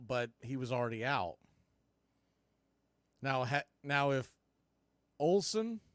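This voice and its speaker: Bright, forceful baseball play-by-play man. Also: medium pitch, no room sound, studio quality. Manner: punchy short phrases, excited bursts on big plays. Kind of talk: live play-by-play commentary.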